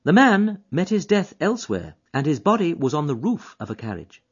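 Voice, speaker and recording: A man doing a keen high-voiced detective. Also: big pitch swings, husky. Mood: eager. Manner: quick, emphatic, reasoning aloud. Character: brilliant eager male detective, keen and high-strung. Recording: dull lo-fi audiobook recording.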